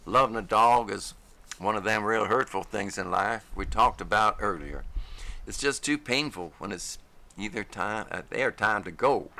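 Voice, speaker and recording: Folksy old-timer with a slow rural drawl, deep and gravelly, put on by a male narrator. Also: bright, forceful tone. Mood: wistful. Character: folksy older man, grieving dog lover.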